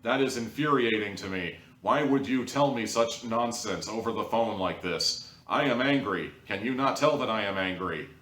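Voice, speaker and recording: A man's voice: lowish voice, moderate pace, fairly bright tone, lightly acted. A man putting on an angry father as a wooden amateur audio-drama actor, stilted as if reading off cards. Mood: stiffly indignant. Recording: roomy echo, distant mic.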